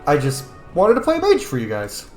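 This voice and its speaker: A man's voice: on the high side, huge pitch swings, normal speed, a little mellow, reverberant. A man giving casual, chatty, rambling commentary as a video game streamer. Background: game music.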